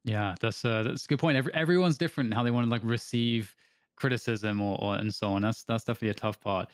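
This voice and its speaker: A man's voice: medium pitch, dry recording, clean studio sound. A man hosting an interview over a video call, asking long questions full of restarts. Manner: quick, rambling questions with restarts. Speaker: interview host.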